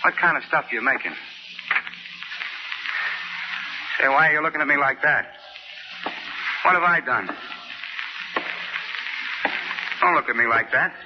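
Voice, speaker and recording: An actor playing a naive, chatty down-and-out drifter with folksy, ungrammatical talk, in 1940s radio style. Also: male voice, a little high, fast, lightly acted. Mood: nervous. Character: chatty, naive down-and-out male drifter, eager to please. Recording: dull, noisy vintage 1940s radio recording.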